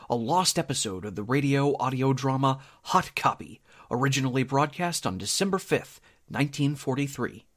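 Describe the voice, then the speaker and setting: Male radio announcer with animated pitch. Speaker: announcer of an audio drama podcast. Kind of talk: scripted announcing, radio credits.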